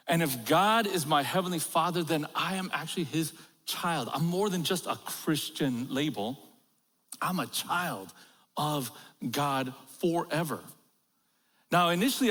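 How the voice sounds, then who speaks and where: Middle-aged pastor guy cracking jokes in his sermon, kinda high voice, big reverby church hall. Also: fairly bright tone. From Korea, raised in America. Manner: humorous storytelling, laughs at own jokes. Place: large church auditorium.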